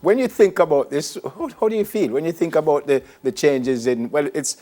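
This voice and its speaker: A man hosts a morning TV show and asks questions in halting bits, repeating his words. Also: on the high side, mellow. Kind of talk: live TV interview.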